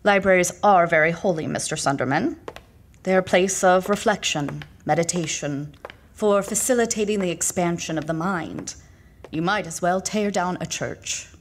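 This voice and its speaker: A stern, devoted librarian, played by a woman in an audio horror drama. She speaks in a fairly low voice and with a reproachful, measured firmness. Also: a little hiss or hum, close to an everyday voice.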